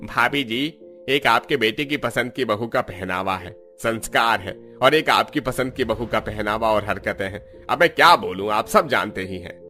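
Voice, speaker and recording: A man's voice: fairly low pitch, moderate pace, sharp tone. A man voicing a polite but disapproving house guest in a cartoon, formal and pointed. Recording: music under the voice.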